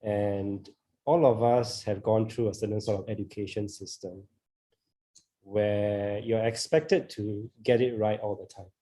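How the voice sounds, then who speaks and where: A man from Singapore speaks with a Singaporean accent, his voice low and deeply mellow. He muses out loud in a group video call, peppering his points with fillers and easy laughs. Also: steady tone, a little slow. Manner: thoughtful, laughs often, many fillers. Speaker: co-organiser of a discussion group. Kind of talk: reflective group conversation.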